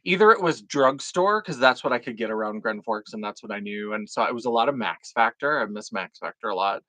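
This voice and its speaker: A man's voice: mid-range voice, expressive, brisk, bright, forceful tone, dry recording. Froggy-voiced man chatting on a livestream. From North Dakota. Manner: chatty, swears casually, greets chat viewers. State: froggy, phlegmy throat. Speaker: drag performer hosting a live unboxing stream. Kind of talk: casual livestream chat.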